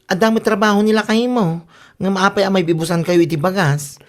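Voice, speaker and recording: A woman's voice: medium pitch, fairly even intonation, brisk, lightly acted. A woman with a Filipino Ilocano accent playing a grumbling neighbour who lends and chases debts, in a comedy radio drama. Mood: scolding. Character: grumbling female neighbour, lender chasing unpaid debts.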